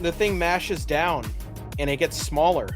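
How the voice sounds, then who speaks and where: Male game master, lightly high register, descriptive storytelling, faint music underneath. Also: a little slow. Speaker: game master and podcast host. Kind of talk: narrating a tabletop roleplaying game.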